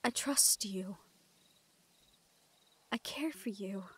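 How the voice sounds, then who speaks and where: Woman playing a hushed, breathy young female thief. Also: mid-range voice, very slow. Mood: hesitant, tender. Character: guarded, tender young female thief confiding in a prince. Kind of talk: intimate roleplay audio drama acting.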